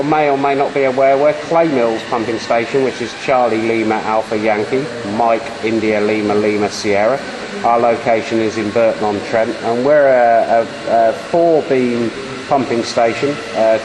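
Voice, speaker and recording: A man chatting as an amateur radio operator in a hesitant, rambling way, giving call signs. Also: mid-range voice. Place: large indoor beam engine room. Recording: clearly noisy room with machinery hum. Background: steam engines, machinery noise.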